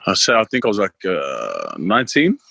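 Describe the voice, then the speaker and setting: A man's voice: low-pitched, wildly swooping pitch, normal speed, gravelly, pushed and bright, a little hiss or hum. A man in his twenties from Queensland with an Australian accent, a podcast guest talking casually, laid-back with lots of fillers. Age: 20s. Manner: laid-back, many fillers, laughs easily. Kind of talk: casual interview talk.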